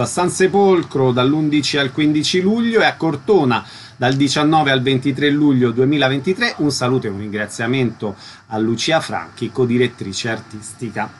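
A man's voice: medium pitch, a little hiss or hum. A man working as a radio interviewer, putting questions to guests.

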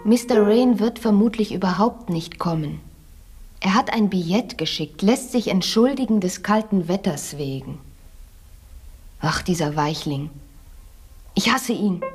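A woman's voice: mid-range voice, unhurried, pauses often, a little mellow, slightly rough, big-room sound, soft background music. An actress playing a proud, headstrong young English lady, imperious and outspoken, in a German radio play. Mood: scornful.

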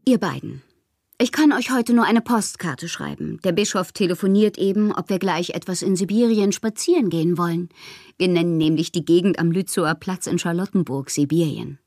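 A woman playing a whimsical bohemian poet who confides her letters in an intimate, breathy, theatrical way for a radio drama. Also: fairly low pitch, expressive, moderate pace, darkish tone, no room sound, studio quality. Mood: playful, musing. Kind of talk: literary radio drama, letters read as monologue.

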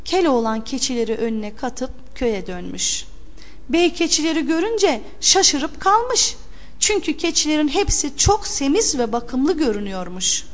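A woman reading a scripted fairy tale for an audio storybook in an expressive, theatrical way. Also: sits high, measured pace. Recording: long hall-like reverb.

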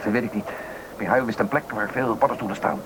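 A man's voice: lowish voice, quick pace, natural voice. A man playing a worried village father searching for his missing son in a Dutch radio drama. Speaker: actor in a radio play. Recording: noisy old radio play recording.

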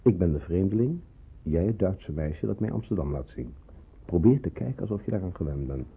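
An actor playing a brisk, fatherly resistance man who gives kind but firm orders in a radio drama, on an old recording. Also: deep voice, lively intonation, vocal fry, phone-quality sound, noisy background. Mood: instructing. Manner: brisk, instructing, reassuring.

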